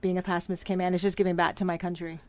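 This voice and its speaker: A woman's voice: on the low side, flat delivery, fast talker, a little mellow, dry recording. A woman, a former pageant winner, speaking earnestly as a radio interview guest with many fillers. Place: radio studio.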